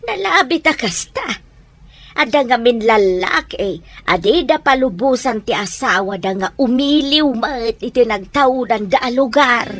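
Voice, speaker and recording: A woman's voice: on the high side, animated, unhurried, fairly bright tone. An actress playing an easygoing, chatty woman companion in an Ilocano radio drama. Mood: chatty, sympathetic.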